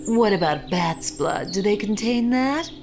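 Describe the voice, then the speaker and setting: A woman's voice: medium pitch, animated, measured pace. A woman playing a macabre, vain, darkly playful horror hostess with a theatrical, arch drawl in a podcast skit. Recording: music behind the voice.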